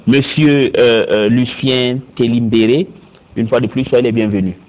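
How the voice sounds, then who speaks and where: A man's voice: medium pitch, fairly even intonation, a little slow, darkish tone. A man hosting a studio panel interview, questioning guests and repeating their answers back to them. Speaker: TV talk show host. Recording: muffled lo-fi broadcast audio.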